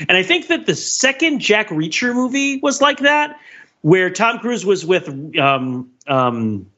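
A man with a bright, forceful voice co-hosts a casual film podcast. His pitch runs a little high and swings widely as he argues through long digressions with mock exasperation. The recording is slightly dull and lo-fi. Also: sing-song, unhurried. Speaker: podcast co-host.

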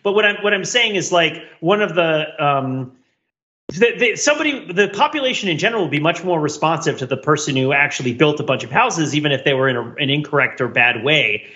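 Bright, forceful man debating casually. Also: fairly high pitch, animated, a little fast, muffled recording. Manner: questioning, argumentative. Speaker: podcast co-host.

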